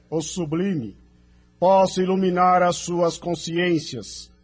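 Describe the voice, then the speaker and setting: A man playing a solemn, benevolent spirit emissary in an audio drama, speaking in a formal, exhorting way. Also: sits high.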